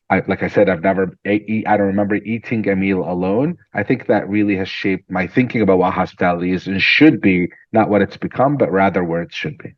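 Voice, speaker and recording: Low-voiced syrian-born guy telling stories in an interview, kinda dull dry recording. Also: no room sound. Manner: animated storytelling, repeats words when starting sentences. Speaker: guest entrepreneur. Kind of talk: conversational interview.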